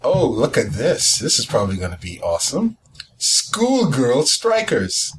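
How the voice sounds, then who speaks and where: A man's voice: mid-range voice, wildly swooping pitch, a little slow, a little hiss or hum. A man chatting casually as a podcast host, musing hesitantly as he goes. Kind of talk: casual chat.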